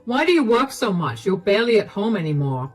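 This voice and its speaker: A woman's voice: medium pitch, big pitch swings, brisk, slightly rough, soft background music. A woman voicing a lazy, unemployed husband who is defensive and entitled, in a read-aloud story. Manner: whiny and defensive.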